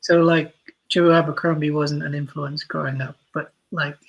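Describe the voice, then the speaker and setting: A man's voice: on the high side, little pitch movement, normal speed, gravelly, highs cut off. A man answering casually as a podcast guest, thinking aloud in a hesitant, thoughtful way. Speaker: podcast guest, audio drama writer.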